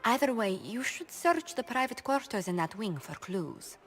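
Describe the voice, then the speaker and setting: An earnest woman adviser in a fantasy game. She is sharp and emphatic, with very lively intonation and some music underneath. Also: on the high side, moderate pace. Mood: concerned, urgent. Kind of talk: video game dialogue acting.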